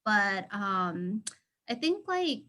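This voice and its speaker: A woman's voice: medium pitch, big pitch swings, very slow, sharp tone. A young woman from the United States, a guest on a casual interview, telling personal stories in a chatty way, full of "like" fillers. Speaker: interview guest, former study abroad student. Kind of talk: casual conversational storytelling.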